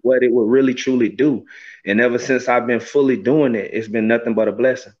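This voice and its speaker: A man's voice: mid-range voice, fairly even intonation, fairly quick, a little mellow. A man in his thirties, a gospel singer being interviewed over a video call from a small room, talking in an earnest, reflective way with many "you know" fillers. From Florida, USA. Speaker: gospel recording artist, guest. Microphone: webcam on a video call, close framing. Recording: slightly dull lo-fi video call audio.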